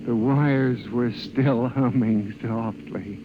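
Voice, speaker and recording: A man's voice: mid-range voice, fairly even intonation, slow, narrow, tinny audio, heavy background noise, close to an everyday voice. An actor playing an agitated, pleading male convict in an asylum who insists he is sane, in 1950s radio drama style. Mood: grim, recounting. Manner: pleading, fervent. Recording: old-time radio recording.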